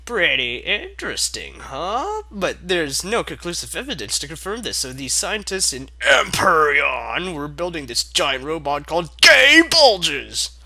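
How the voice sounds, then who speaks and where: A young man reads a fan story aloud with a very bright, forceful voice that swings widely in pitch. He keeps stopping to mock the text in sarcastic, exasperated asides, and the reading stays loose and comedic. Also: no echo. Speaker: fanfic reader.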